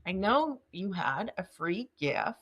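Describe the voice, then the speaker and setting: A woman's voice: medium pitch, big pitch swings, takes it slowly, a bit bright, slightly rough. A woman hosting a podcast interview, affirming and conversational with her guest. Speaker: podcast host.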